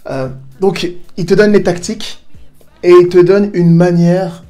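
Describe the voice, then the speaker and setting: A man's voice: sits high, little pitch movement, a little slow, warm tone, faint music behind. A man hosting a self-help video, coaching the viewer in a casual, direct way with playful asides. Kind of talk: direct-to-camera coaching talk. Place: home in southern Spain.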